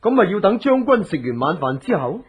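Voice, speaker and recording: Jovial hard-drinking guard captain, hearty, husky, Hong Kong accent, lo-fi radio drama sound. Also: male voice, high voice, big pitch swings, a little fast, exaggerated voice. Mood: jovial, tipsy. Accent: Hong Kong Cantonese. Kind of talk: Cantonese radio horror drama acting. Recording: dull lo-fi radio drama recording.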